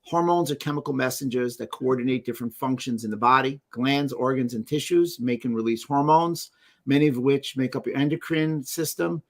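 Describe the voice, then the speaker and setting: A man's voice: mid-range voice, fairly quick. Upbeat male podcast host. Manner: upbeat, reads guest bio with small asides. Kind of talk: interview introduction and questions.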